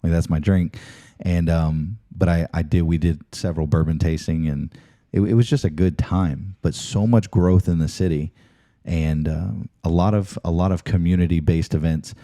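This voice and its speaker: A man's voice: low-pitched, steady tone, normal speed, warm tone, no echo, studio quality. A man hosting a talk show, chatting casually with his guest in an interview.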